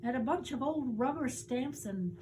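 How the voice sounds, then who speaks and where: An older woman, a grandmother, reading a children's storybook aloud in a deliberate, animated storytelling way. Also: sits high, noisy background.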